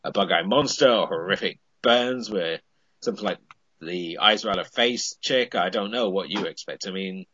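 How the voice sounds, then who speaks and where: A man with a fairly low voice casually recapping a television episode for a podcast. He keeps reaching for "I mean" between thoughts. The sound is dry and muffled, like a lo-fi mic. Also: moderate pace, fairly bright tone, husky, no echo. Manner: recaps plot with frequent "I mean" fillers.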